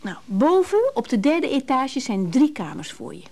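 Radio drama: tender, insistent, anxious woman, mellow timbre, lively melody, hesitant delivery. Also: sits high, moderate pace, full character voice. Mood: pleading, insistent. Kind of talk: naturalistic Dutch radio drama acting.

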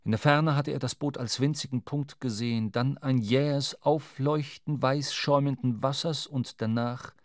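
Male narrator, medium pitch, dry close studio sound, literary radio drama storytelling. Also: fairly even intonation, moderate pace, few pauses, no echo.

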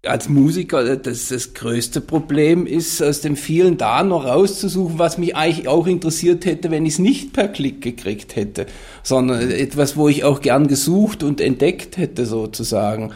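A man's voice: a little high, moderate pace, a little mellow. A man, a musician, talking casually and spontaneously, repeating words as he goes. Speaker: musician and composer.

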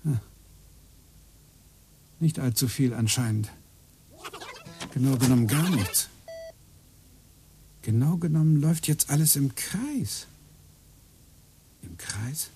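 An actor playing a brooding computer engineer who mutters to himself and grows agitated in a radio play. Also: male voice, medium pitch, expressive, takes it slowly, long pauses, warm tone, slight background noise.

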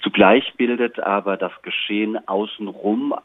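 Over a tinny, phone-like line with no room sound, a man speaks in a fairly low voice. He is an interview guest. His answers are reflective and articulate, built in considered phrases. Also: steady tone, normal speed, no echo. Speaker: interview guest, playwright and director.

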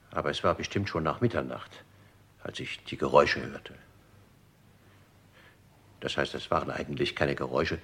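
An actor playing an older, formal manservant in a German radio crime drama, deferential and deliberate, with a husky, gravelly voice. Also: male voice, a bass voice, fairly bright tone, exaggerated voice. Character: dutiful, formal old-school manservant of a murdered gentleman.

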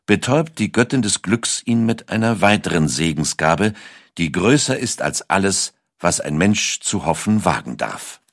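Male narrator, very low register, close dry recording; measured, dramatic delivery for scripted historical storytelling. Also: fairly bright tone, dry recording.

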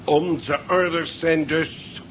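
Formal naval commander, muffled old recording. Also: male voice, fairly high pitch, flat delivery, fairly bright tone. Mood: formal, commanding. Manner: formal, measured orders. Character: formal, dutiful male naval commander of a recovery ship. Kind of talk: 1970s Dutch radio drama acting. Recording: dull, hissy 1970s radio play recording.

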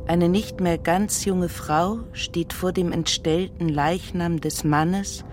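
A woman narrating a literary radio drama in a solemn, measured and dramatic manner. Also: lowish voice, steady tone, noisy background.

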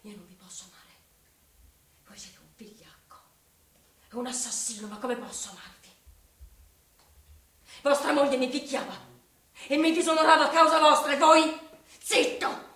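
A proud, wronged young woman in a radio play. Her high voice is emotional and rejecting, heard at a distance in a roomy space. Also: steady tone, moderate pace, long pauses. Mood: outraged. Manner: emotional, swinging between hushed and outraged. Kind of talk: theatrical radio drama acting.

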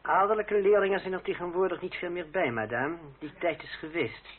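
An actor playing a proud, bitter young fencing master, speaking with formal, cool irony in a period radio drama. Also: male voice, high-pitched, brisk, natural voice. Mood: courteous. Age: young adult. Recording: tinny, noisy old radio play recording.

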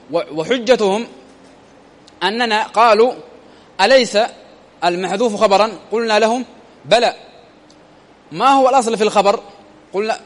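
A man's voice: high voice, long reverb tail. A man teaching a grammar lesson, repeating phrases and quizzing his listeners with rhetorical questions.

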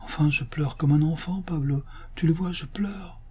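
A man voicing a gluttonous, sentimental Spanish canon in a storybook, effusive and given to drawn-out exclamations of delight. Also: on the high side, a little mellow, breathy edge, pitched above the performer's own voice. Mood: tearful delight.

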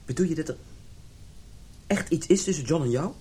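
A man's voice: a little high, expressive, moderate pace, mellow, close to an everyday voice. A male actor playing a wounded, domineering middle-aged husband in a radio drama, with an agitated manner. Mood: tense, demanding. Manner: agitated, stammering when upset.

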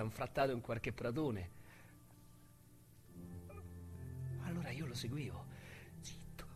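A man's voice: mid-range voice, close to an everyday voice. A man voicing a foul-mouthed Roman suburb youth. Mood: bitter, hushed. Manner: crude slangy storytelling with sudden shouted outbursts. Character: coarse young man from the outskirts, bitter and foul-mouthed. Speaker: narrator of a radio monologue. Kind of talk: radio drama monologue.

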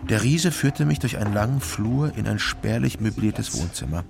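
Low, gravelly deadpan detective. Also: male voice, moderate pace, over music. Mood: laconic. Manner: terse, deadpan, clipped sentences. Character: East German private detective, wary, laconic narrator. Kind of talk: scripted radio crime drama narration.

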